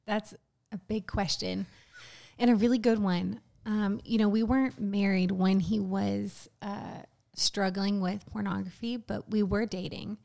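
Encouraging young woman with vocal fry. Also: mid-range voice, fairly even intonation, a little slow, mellow. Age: 20s. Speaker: guest. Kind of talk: casual faith talk on a podcast.

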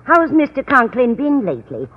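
A woman's voice: high voice, very animated, normal speed, husky, exaggerated voice. Lilting, scatterbrained older landlady. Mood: sweetly muddled. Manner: fussy, homey, sweetly muddled. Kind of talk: 1940s-50s radio comedy acting. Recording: muffled, noisy old radio broadcast recording.

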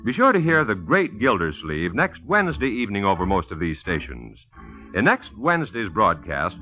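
A man's voice: fairly low pitch, bright, forceful tone. A man with an American accent reading scripted announcements in an emphatic, formal 1950s radio style. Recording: dull old radio broadcast.

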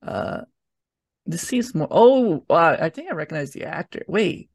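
A man's voice: fairly high pitch, big pitch swings, a little slow, gravelly, no room sound, studio quality. A man in his twenties chatting casually as a guest on a review podcast. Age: 20s.

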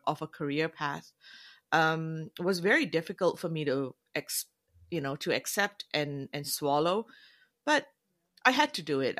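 A middle-aged woman from Malaysia, a career coach who is a guest in an interview, talking in an expressive, warm way and laughing often. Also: on the low side. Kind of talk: casual interview conversation.

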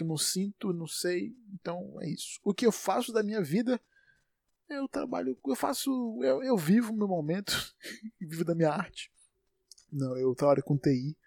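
A man's voice: fairly high pitch, creaky, a little mellow, no echo, studio quality. A man in his twenties from Salvador with a Bahian accent, hosting a solo podcast in a rambling, self-correcting way. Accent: Bahian Portuguese. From Salvador, Bahia, Brazil. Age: 20s. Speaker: podcast host. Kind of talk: unedited solo podcast monologue.

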